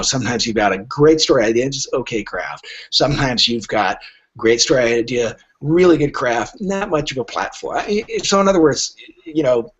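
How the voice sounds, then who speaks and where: A man's voice: medium pitch, fairly bright tone, breathy edge, highs cut off. A man in conversational explaining mode as a podcast guest, emphatic, often repeating words as he starts and posing rhetorical questions. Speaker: literary agent, guest.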